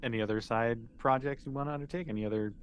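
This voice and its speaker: A man's voice: medium pitch, rapid-fire, clean tone, highs cut off. A man running a tabletop role-playing game as game master, speaking casually and informally.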